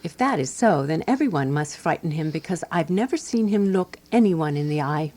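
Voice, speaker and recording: Radio drama narrator: prim, watchful woman companion, lowish voice, dry and deliberate, quietly jealous. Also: expressive. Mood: dry, wry.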